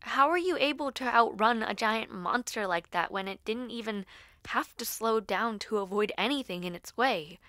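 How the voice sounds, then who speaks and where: A woman acting an earnest, nervous school-age girl who dabbles in spells, speaking haltingly and intimately to the listener in an audio roleplay. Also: a little high, lively intonation, no room sound, studio quality. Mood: puzzled, relieved. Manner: hesitant, halting, soft-spoken asides.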